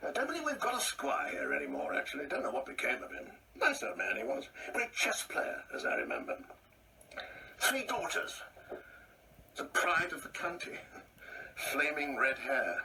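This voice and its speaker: An actor playing an ageing English gentleman in a radio play, pompous, brusque and uneasy, rambling in clipped phrases. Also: a little high, huge pitch swings, normal speed, gravelly, full character voice. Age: older. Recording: distant mic, faint background noise.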